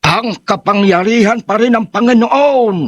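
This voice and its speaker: An actor playing a calm, pious old grandfather with a thin, husky voice in a radio drama. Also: high-pitched, measured pace, no room sound, clean studio sound. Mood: gentle, reassuring.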